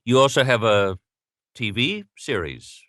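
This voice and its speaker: Bright, animated American male interviewer. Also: medium pitch, unhurried, dry recording, studio quality. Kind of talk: conversational interview questions.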